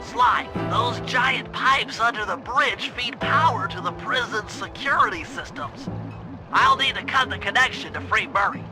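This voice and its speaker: An actor playing a nerdy, careful young turtle gadget genius in a video game, nasal and precise. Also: male voice, very high-pitched, measured pace. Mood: earnest briefing. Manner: precise, earnest, bookish briefing. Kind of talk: animated video game cutscene acting. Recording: loud music under the voice. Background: game music.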